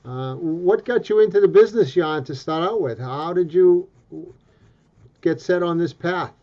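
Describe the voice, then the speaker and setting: A man hosts an interview over a video call, his voice coming through dull lo-fi call audio and sitting a little high for a man. His questions ramble and stop and start. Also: unhurried, warm tone. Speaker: host, interviewer.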